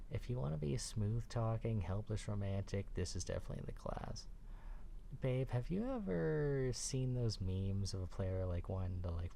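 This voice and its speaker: A man playing a soft-spoken, playful and affectionate young boyfriend in an ASMR roleplay, talking casually and nerdily, often close to a whisper. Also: lowish voice, vocal fry, slight background noise, full character voice. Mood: playful, teasing. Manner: casual, hesitant, teasing, conversational with many likes.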